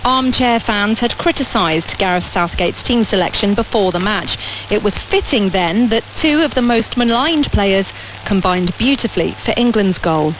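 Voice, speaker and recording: A woman's voice: mid-range voice, lively intonation, moderate pace. Woman giving a sports report through static. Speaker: sports correspondent. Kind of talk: scripted news report. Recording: muffled shortwave radio with static.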